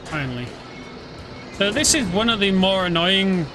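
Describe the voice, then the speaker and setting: A man's voice: high-pitched, animated, normal speed. Chatty man narrating a game. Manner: chatty, explains the story as he plays. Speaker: game streamer. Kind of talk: casual gameplay commentary. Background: game music.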